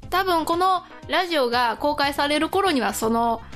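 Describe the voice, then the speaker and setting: A woman with a distinctly high voice hosts a radio show. She talks in casual, conversational exchanges with her co-host, with music playing behind the talk. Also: expressive, normal speed, a bit bright.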